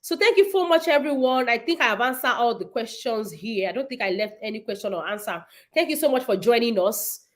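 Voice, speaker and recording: A woman's voice: on the high side, lively intonation, fairly quick. A woman, hosting a casual livestream and answering viewers' nursing questions in an emphatic, repetitive way.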